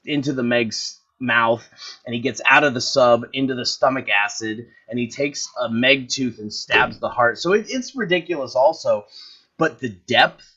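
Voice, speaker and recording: A man's voice: medium pitch, moderate pace, bright, forceful tone, slightly rough, muffled recording. A man co-hosting a casual movie podcast, talking eagerly and rambling while he gropes for actors' names. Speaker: podcast co-host. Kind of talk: casual chat about movies.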